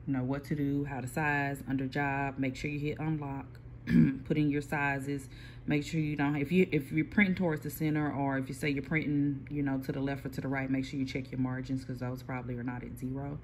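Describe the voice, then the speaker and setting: A person casually explaining printer software step by step, with frequent pauses and restarts, recorded on a phone aimed at a computer screen. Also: medium pitch, level tone, slight background noise. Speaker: small print shop owner giving a software tutorial. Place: indoor workspace at a computer. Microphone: phone filming a computer screen.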